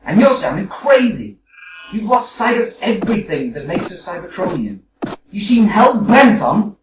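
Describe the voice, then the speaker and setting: A man's voice: high-pitched, big pitch swings, moderate pace. A man playing a brash, sarcastic robot captain in an audio drama, with a needling, argumentative delivery. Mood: heated. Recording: roomy, distant mic with faint noise.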